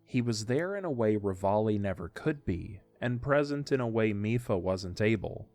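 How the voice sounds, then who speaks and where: A man's voice: fairly low pitch, lively intonation, moderate pace, a little mellow, no echo. A man reading scripted narration for an audio drama story. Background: faint music.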